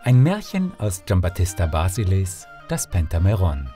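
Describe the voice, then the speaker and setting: A man's voice: low voice, huge pitch swings, moderate pace, dark tone, faint music behind. A man narrating a fairy tale as an audiobook storyteller, reading expressively from a script.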